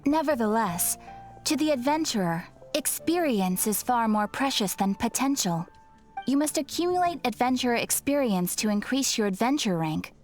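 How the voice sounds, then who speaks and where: An actress playing a polite young guild receptionist in a video game, explaining things in a courteous, formal and measured manner. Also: female voice, a little high, lively intonation, natural voice. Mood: polite, instructive. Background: game music.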